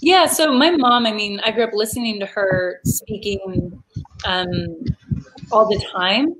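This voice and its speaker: A woman's voice: mid-range voice, a little slow, fairly bright tone, rough recording. A young woman from the United States, talking casually as an interview guest, chatty with many fillers and laughs.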